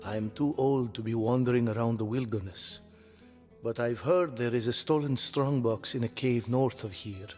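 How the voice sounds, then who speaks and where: A man's voice: mid-range voice, moderate pace, a little mellow, natural voice. An actor voicing a plain-spoken old townsman and trader in an adventure game. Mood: plain, wary. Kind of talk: video game voice acting. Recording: reverberant sound with faint music behind.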